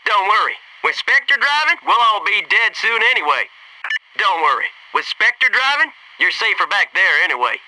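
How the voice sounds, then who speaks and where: A man's voice: high-pitched, sing-song, normal speed, bright, forceful tone, far from the mic, a little hiss or hum, full character voice, poor audio. An actor playing a cocky, wisecracking male Navy SEAL squadmate in a military video game, talking in brash, punchy banter. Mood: joking. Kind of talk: scripted video game squad radio lines.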